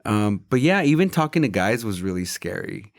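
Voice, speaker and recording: Mexican-born podcast guy, lowish voice, dry close sound, kinda hesitant. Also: sing-song, moderate pace, no room sound. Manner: hesitant, lots of fillers and restarts while telling personal stories. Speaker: podcast co-host. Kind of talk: casual chat.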